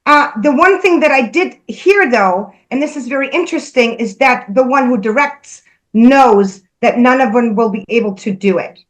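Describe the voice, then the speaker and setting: A woman with a somewhat high-pitched voice speaks in a casual conversation. She earnestly relays messages she says she is given, as a spiritual medium would. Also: normal speed, low-quality recording.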